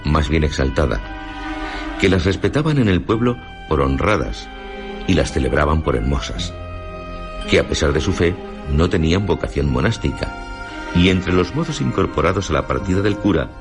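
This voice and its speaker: A man with a Castilian Spanish accent narrating a literary radio drama in a solemn, measured storytelling manner. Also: very deep voice, very animated. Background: prominent music.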